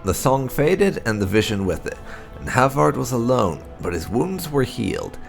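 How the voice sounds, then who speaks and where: A man narrating a video game playthrough, reading lore aloud in an expressive, measured storytelling way with emphatic phrasing. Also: medium pitch, gravelly, soft background music. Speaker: gaming channel narrator.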